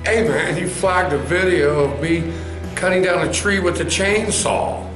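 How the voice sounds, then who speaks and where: Casting: man as angry small creator confronting someone in sketch comedy, high voice, over music. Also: a little slow. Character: angry male small video creator protesting. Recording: echoey, clear music behind.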